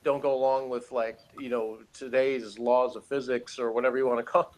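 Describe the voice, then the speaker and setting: A man's voice: mid-range voice, level tone, brisk. A man giving a casual, opinionated review as a podcast co-host, rambling and emphatic in an exasperated way.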